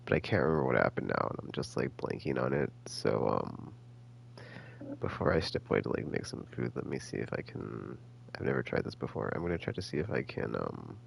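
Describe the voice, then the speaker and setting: A man giving casual, rambling game commentary as a streamer, halting and slangy as he thinks out loud. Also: rumbling low, sing-song, gravelly, a little hiss or hum. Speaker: gaming streamer.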